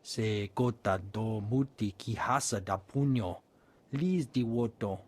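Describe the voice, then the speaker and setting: An actor playing an earnest alien in a video game, talking in choppy syllables of an invented language. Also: male voice, fairly low pitch, slow. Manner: speaks made-up alien tongue in choppy syllables. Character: talkative male alien chattering in an invented language.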